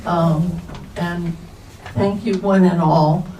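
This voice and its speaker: Older woman author, extremely slow halting speech, searching for words, echoing room, slightly distant mic. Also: lowish voice, fairly even intonation, warm tone. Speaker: author at her book event. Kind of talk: unscripted question-and-answer talk. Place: bookstore event space. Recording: roomy, distant mic, faint noise.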